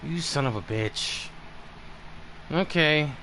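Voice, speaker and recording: A man's voice: a little high, animated, slow, bright, forceful tone, a little hiss or hum. A man commentating on a gameplay stream in a muttering, foul-mouthed way.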